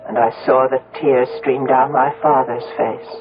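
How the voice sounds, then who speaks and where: A bereaved, reflective woman recounting her life in 1970s radio drama. Her phrasing is measured and literary. The old broadcast is thin and phone-like, with clear music behind it. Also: rumbling low, steady tone. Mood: sorrowful, reflective. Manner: measured, literary first-person storytelling. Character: Una, grieving, reflective grown daughter telling her story. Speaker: lead actress and narrator.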